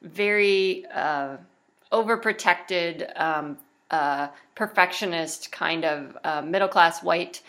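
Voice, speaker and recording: Woman, low-pitched, bright and tense tone, halting talker with plenty of fillers, casual conversation. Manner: halting, many fillers and restarts, laughs often.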